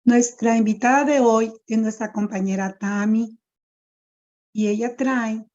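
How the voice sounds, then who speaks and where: A woman moderating a support group meeting, reading the opening statements and prayer in a measured, formal way over a video call. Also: fairly high pitch, fairly even intonation, warm tone, highs cut off.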